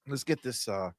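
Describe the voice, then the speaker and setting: A stuffy-nosed man co-hosting a gaming stream, his pitch jumping all over as he jokes and swears. He sounds close to the mic in a dry room with no echo. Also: slightly rough. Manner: animated, swears freely, bursts of laughter. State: stuffy nose. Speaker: gaming podcast co-host. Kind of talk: casual live-stream chat.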